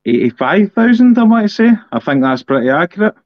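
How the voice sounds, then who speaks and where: A man's voice: sits high, big pitch swings, brisk, no echo. A man with a Scottish accent in a casual political discussion, talking bluntly and emphatically and repeating points for effect. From Scotland. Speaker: political podcast co-host. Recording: dull, dry lo-fi audio.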